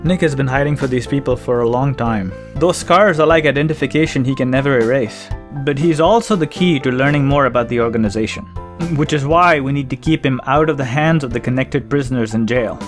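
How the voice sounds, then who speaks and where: A man playing a composed, reassuring church protector in an audio drama, explaining in a measured way. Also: medium pitch, soft background music, lightly acted.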